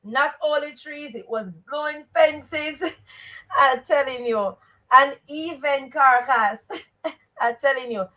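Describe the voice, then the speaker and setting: High-voiced Jamaican woman teaching live. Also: slow, rough recording. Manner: expressive, emphatic, repeats rhetorical questions and stock phrases. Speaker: teacher and host of a live read-aloud show. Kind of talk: interactive live lesson, questioning listeners.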